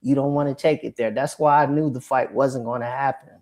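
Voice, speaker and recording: A man's voice: mid-range voice, fairly even intonation, brisk, a crowd in the background. Man reasoning things out plainly. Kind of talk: casual opinion chat.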